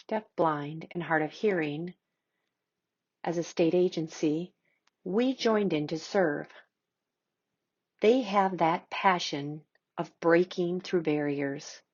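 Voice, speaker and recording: A woman presenting an award in an emphatic, deliberate way. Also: on the low side, slightly rough. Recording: dull muffled recording.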